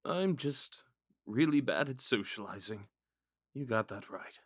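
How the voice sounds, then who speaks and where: A man playing a kind, shy young prince in a romantic ASMR roleplay, speaking gently and hesitantly. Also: medium pitch, lively intonation, unhurried, dry recording, clean studio sound. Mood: awkward, caring. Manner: soft-spoken, hesitant, stammering when flustered. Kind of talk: ASMR roleplay, scripted monologue to a listener.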